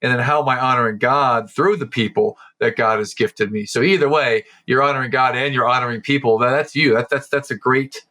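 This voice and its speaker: A man's voice: medium pitch, fairly even intonation, a little fast, hardly any pauses, pushed and bright. A man hosting a podcast, chatting enthusiastically and repeating words as he thinks. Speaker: podcast host. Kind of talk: casual interview chat.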